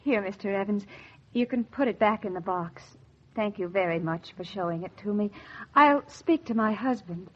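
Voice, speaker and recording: An actress playing a wistful, embittered housewife in 1940s radio style, intense and melodramatic, repeating phrases for emphasis. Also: female voice, medium pitch, moderate pace, lightly acted. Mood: shy, eager. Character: wistful, embittered housewife yearning for one luxury. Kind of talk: 1940s radio acting, dramatic monologue and dialogue. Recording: muffled 1940s radio recording with music.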